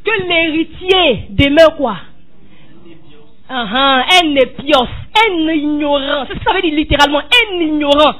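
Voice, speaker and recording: A woman's voice: sits high, measured pace, fairly bright tone, rough recording. A woman preaching an impassioned sermon, tossing questions to the congregation and rising to cries.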